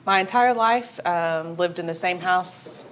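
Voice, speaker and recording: A woman's voice: lowish voice, a little slow, fairly bright tone, slight background noise. A middle-aged woman from Houston, chatting as a guest on a morning talk show, friendly and full of ums. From Houston, Texas. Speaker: guest, nonprofit executive director. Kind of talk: casual morning show interview.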